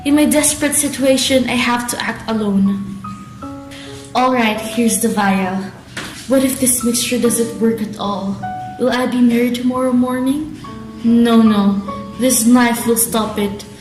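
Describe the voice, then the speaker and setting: A young woman plays a dutiful but troubled daughter in an amateur radio drama. Her voice is fairly high, with a strong room echo and music playing underneath. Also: measured pace, a little mellow, natural voice. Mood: fearful, desperate. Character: troubled young daughter, outwardly obedient, inwardly fearful. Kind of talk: student radio drama acting.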